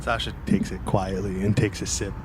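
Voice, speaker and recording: A man's voice: low voice, flat delivery, normal speed, noisy background. Man casually narrating his game character. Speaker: tabletop game player.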